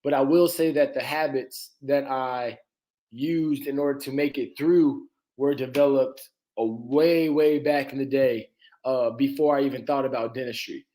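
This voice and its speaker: A young man from Atlanta talks as a dentist guest on an interview show. His voice sits at an ordinary middle pitch, and he speaks casually and easily, with plenty of "uh"s and quick laughs. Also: poor audio. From Atlanta, Georgia. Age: 20s. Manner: relaxed, laughs easily, frequent "uh" and "you know".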